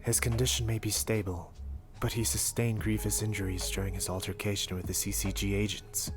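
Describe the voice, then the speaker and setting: A man playing a composed, formal ghoul doctor in an anime audio roleplay, speaking in a measured, dry way. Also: on the low side, flat delivery, close to an everyday voice. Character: composed, formal male ghoul doctor, curt but loyal. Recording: prominent music bed under voice. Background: music.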